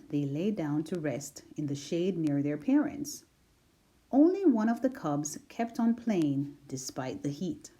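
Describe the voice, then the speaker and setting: Slow expressive woman reading a kids' story. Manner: slow and expressive, big pitch swings. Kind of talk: reading a children's picture book aloud.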